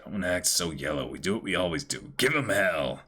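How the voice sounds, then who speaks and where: A man voicing a gruff, no-nonsense pirate captain, stern and blunt, in a manga reading. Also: sits low, expressive, a little fast, sharp tone, pitched below the performer's own voice, full character voice. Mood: defiant.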